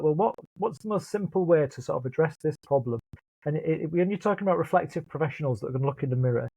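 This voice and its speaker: A man's voice: on the high side, darkish tone, breathy edge, dry recording, poor audio. A man with a Leeds accent, speaking earnestly and thoughtfully as a guest in an interview, often repeating words as he starts a thought. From Leeds, England. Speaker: guest, project lead. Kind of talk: reflective interview conversation.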